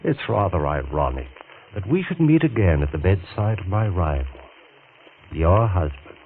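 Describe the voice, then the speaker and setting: An actor playing a smooth, vindictive middle-aged doctor in an old radio thriller, speaking in a measured, insinuating, menacing way. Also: male voice, low-pitched, sing-song. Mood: bitter, menacing. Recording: tinny, noisy vintage radio recording.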